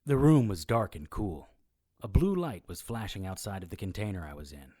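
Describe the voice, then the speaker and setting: An actor playing a wry, self-mocking immortal occult investigator who narrates an audio drama in noir style. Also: male voice, on the low side, expressive, normal speed, warm tone, no echo, studio quality. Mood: brooding, puzzled. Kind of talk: scripted first-person audio drama narration.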